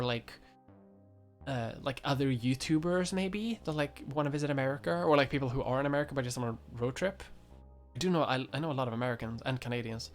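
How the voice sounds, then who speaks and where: A man in his twenties talks casually to his viewers, stumbling through restarts and fillers. His pitch sits in an everyday middle range. A little music plays faintly behind him. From Europe. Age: 20s. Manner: rambling, many fillers and restarts, laughs often. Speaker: YouTuber. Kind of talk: casual livestream chat.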